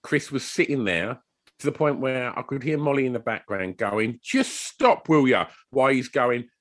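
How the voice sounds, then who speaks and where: A man's voice: mid-range voice, animated, normal speed, a bit bright. A British man co-hosting a podcast in casual, teasing banter, often laughing. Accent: British English. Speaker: podcast co-host.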